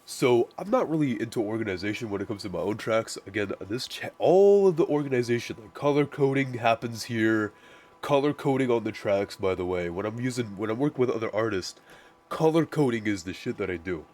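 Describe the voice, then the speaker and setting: A man with a naturally deep voice, casually walking through his music production setup, rambling with lots of "like" and restarts. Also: lively intonation, a little fast, darkish tone. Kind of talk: casual screen-share tutorial.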